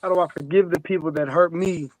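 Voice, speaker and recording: A man's voice: on the high side, steady tone, fast talker, dry recording, rough recording. A man giving reflective personal testimony as a podcast guest in plainspoken, colloquial language.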